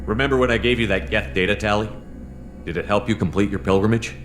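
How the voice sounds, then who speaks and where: An actor playing a calm, resolute military commander in a video game, speaking in a measured, authoritative way. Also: male voice, lowish voice, soft background music, natural voice. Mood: businesslike.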